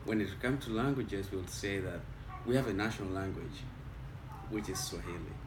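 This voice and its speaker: A man from Kenya speaks with a Kenyan accent and a fairly low voice, explaining things step by step in an unhurried way. He sounds set back from the mic. The room is echoey, with a noticeable noise bed underneath. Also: distant mic. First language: Luo. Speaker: interviewee. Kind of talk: casual interview answers.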